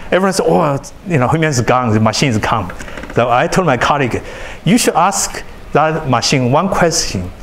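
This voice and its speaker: A man whose native language is Chinese, giving a university lecture in a large hall, animated and often repeating words as he restarts sentences. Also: medium pitch, normal speed, a little hiss or hum. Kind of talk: classroom lecture.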